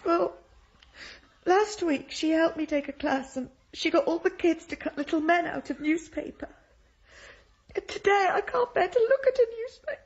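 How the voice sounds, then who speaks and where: A nervous schoolmistress in a radio play. Her very high voice trembles and falters with anxiety, captured in a slightly dull recording. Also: moderate pace. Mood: distressed. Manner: faltering, tremulous. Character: shaken, anxious young woman schoolteacher. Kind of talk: radio drama acting.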